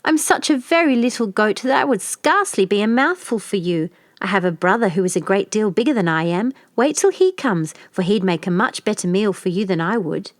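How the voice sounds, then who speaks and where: A woman's voice: medium pitch, lively intonation, brisk, few pauses, no room sound, clean studio sound, natural voice. A woman voicing the littlest billy goat in a storybook, young and timid, with a small, polite, pleading voice. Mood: frightened pleading.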